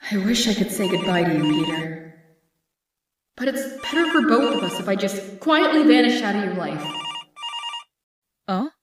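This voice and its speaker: A woman's voice: medium pitch, animated, moderate pace, long pauses, background noise, natural voice. A woman playing a distressed, guilt-ridden young woman in a comic dub, in a melodramatic way. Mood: wistful. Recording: very echoey, distant from mic.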